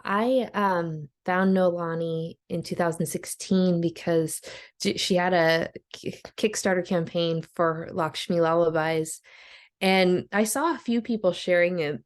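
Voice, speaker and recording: A woman's voice: lowish voice, steady tone, unhurried. A woman telling a personal story on a video call, earnest and a little hesitant. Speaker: course participant.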